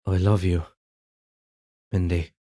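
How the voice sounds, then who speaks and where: A man acts out a tender young sweetheart from a dream in an audio drama. His voice is low and dark, and he speaks with gentle, earnest reassurance. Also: level tone, takes it slowly. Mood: tender, reassuring. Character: tender young Irish man, ghostly lost love in a dream. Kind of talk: fan audio drama acting.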